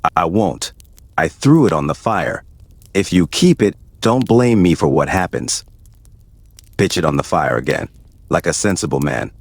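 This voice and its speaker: An actor playing a grave, fearful old sergeant major in an audio story, speaking in an ominous, emphatic way. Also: male voice, sits low, lively intonation, moderate pace, pauses often, no echo. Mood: grave warning. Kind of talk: dramatised audio story acting.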